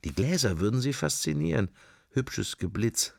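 A man's voice: mid-range voice, expressive, moderate pace, creaky, no room sound. An actor playing a wry, irreverent man musing to himself in clipped fragments, as inner monologue in a radio drama. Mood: wry, speculative. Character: wry, musing male sceptic, inner thoughts while observing church.

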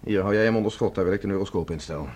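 An actor playing a tough human fugitive, curt, menacing and impatient, in a Dutch radio drama. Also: male voice, low voice, racing, darkish tone, slightly rough. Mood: firm. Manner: curt, commanding. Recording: noisy radio drama recording.

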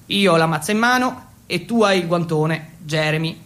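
An actor playing an excitable, awkward Italian teenage exchange student, telling his story in an intense, confessional radio monologue. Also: fairly high pitch, normal speed, natural voice. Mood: confiding. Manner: intense, confessional, switching between Italian and English. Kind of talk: dramatic first-person monologue for radio.

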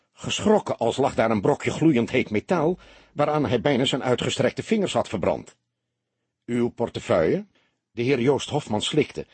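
Expressive, husky male audiobook narrator. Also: medium pitch, no echo. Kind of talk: expressive scripted narration of a detective story.

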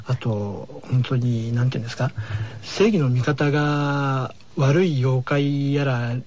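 ラジオのゲストとして、丁寧に淡々と体験を語る三十代の男性。. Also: male voice, mid-range voice, fairly even intonation, slow, darkish tone. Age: 30s. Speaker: guest horror manga artist. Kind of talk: casual radio interview, recounting experiences. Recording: dull lo-fi radio recording.

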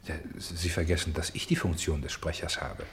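An actor playing a solemn narrator in a German radio play, speaking with measured declamation. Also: male voice, rumbling low, steady tone, echoey room. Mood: objecting. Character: narrator turned player, voicing cities, streets and houses.

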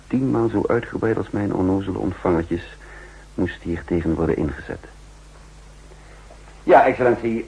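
An actor playing a shrewd, insistent counter-espionage chief in a 1960s radio drama, speaking formally and emphatically with a husky voice. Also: male voice, mid-range voice, darkish tone. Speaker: narrator and main character. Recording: muffled old 1960s radio recording.

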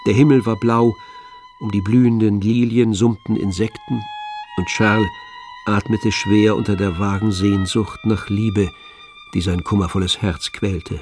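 A man narrating a tragic novel for a radio play in a measured, literary manner. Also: on the low side, steady tone, darkish tone, close to an everyday voice. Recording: dry studio with faint music.

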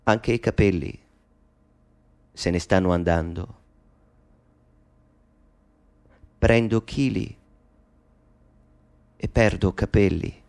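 A man's voice: on the low side, takes it slowly, vocal fry, pauses often, darkish tone. An actor playing a middle-aged man speaking an intimate, wistful monologue to his mother in a radio play. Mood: bitter.

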